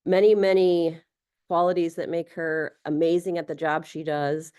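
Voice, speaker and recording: Low-voiced woman introducing a speaker. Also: a little mellow, clean tone. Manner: friendly, with filler pauses. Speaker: webinar moderator. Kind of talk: webinar introduction. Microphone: video call.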